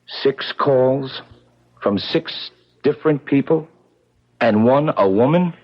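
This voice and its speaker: A man's voice: mid-range voice, expressive, takes it slowly. An actor playing a watchful, persuasive publicity man in a 1970s radio drama, with a businesslike way of talking. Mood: troubled. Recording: muffled, vintage 1970s radio broadcast.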